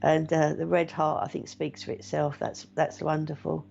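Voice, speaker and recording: A middle-aged woman, a meditation teacher and medium, chatting gently and reassuringly to viewers with many fillers. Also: low-pitched, level tone, normal speed, vocal fry, slight background noise. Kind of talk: casual live-stream chat reading viewer comments. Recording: dull lo-fi stream audio.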